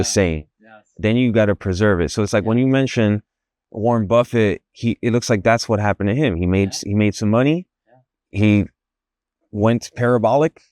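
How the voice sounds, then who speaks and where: A man hosts a podcast and asks his questions in a halting, thinking-aloud way, restarting his phrases often. His low voice is recorded close and dry, with no room echo. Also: darkish tone. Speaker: podcast host. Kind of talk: podcast interview.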